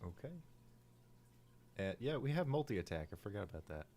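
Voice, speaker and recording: A man's voice: on the low side, big pitch swings, normal speed, darkish tone, slight background noise. A man chatting casually as a player in a live tabletop game, talking through his moves.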